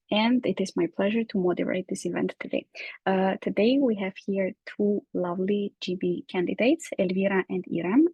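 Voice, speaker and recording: Woman, moderator, husky voice, dry close sound, orderly, procedural. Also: medium pitch, warm tone, dry recording, poor audio. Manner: orderly, procedural, frequent "uh" fillers. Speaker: event moderator. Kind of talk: online town hall moderation.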